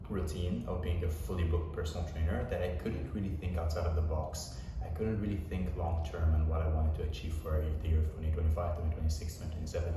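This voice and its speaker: Deep-voiced man, echoey far-off room. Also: background noise. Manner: relaxed, rambling, with fillers. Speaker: personal trainer. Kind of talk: casual vlog update.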